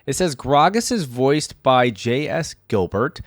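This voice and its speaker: A man's voice: medium pitch, big pitch swings, a little slow, dry recording, studio quality. A man hosting a reaction video, reading aloud and adding casual, conversational asides as he muses.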